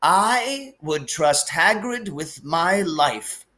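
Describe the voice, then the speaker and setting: A calm old wizard from a storybook, acted out by a man reading aloud. He speaks very slowly in a raised voice, heavy, firm and serious. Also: on the high side, pitched above the performer's own voice, heavily acted. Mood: serious, assured. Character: calm, grave, wise old male wizard.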